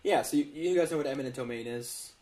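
A man's voice: a little high, quick pace, mellow. Wry man podcasting from an echoey room. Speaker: podcast host.

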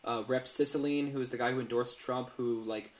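A man's voice: mid-range voice, steady tone, brisk. Man explaining in an echoey room. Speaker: podcast co-host. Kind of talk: casual explanatory podcast talk. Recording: roomy, echoey sound.

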